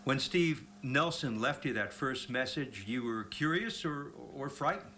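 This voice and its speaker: A man working as a consumer reporter, delivering a scripted broadcast news report in a deliberate, emphatic way. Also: mid-range voice, lively intonation, sharp tone, a little hiss or hum.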